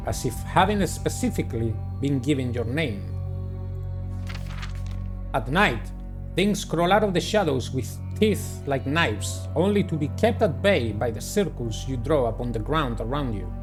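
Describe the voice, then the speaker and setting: A man doing a playthrough of a visual novel, reading it aloud and commenting in a deliberate, halting way. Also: on the high side. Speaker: gamer playing and narrating a visual novel. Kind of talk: dramatic read-aloud with casual playthrough commentary.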